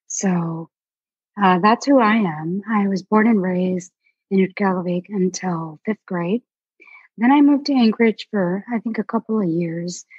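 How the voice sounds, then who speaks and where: A young woman from Alaska, an artist chatting as a guest in a relaxed, rambling way with many fillers. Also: mid-range voice, slightly rough, no room sound, highs cut off. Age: young adult. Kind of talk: casual interview chat.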